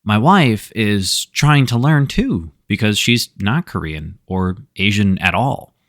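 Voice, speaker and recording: A man's voice: lowish voice, lively intonation, takes it slowly, a bit bright, dry recording, studio quality. A man hosting a storytelling show, reading online stories aloud with wry, sarcastic asides. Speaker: storyteller host.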